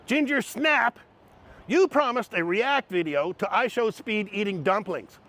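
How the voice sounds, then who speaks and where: A man's voice: sits high, expressive, a little slow, fairly bright tone, slight background noise. A man hosting a commentary video, mocking his subjects in a snarky, sarcastic way. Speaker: commentary host.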